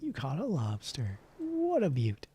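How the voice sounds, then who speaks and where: A man's voice: fairly high pitch, huge pitch swings, measured pace, over music. A man streaming a game, switching between playful chatter and theatrical story read-aloud.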